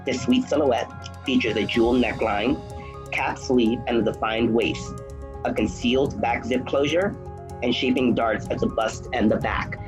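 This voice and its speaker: A man's voice: medium pitch, fairly even intonation, moderate pace. Man, outfit commentary, salesy product talk, music underneath. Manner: smooth, salesy product description. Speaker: fashion presenter. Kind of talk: runway-style commentary on outfits. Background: background music.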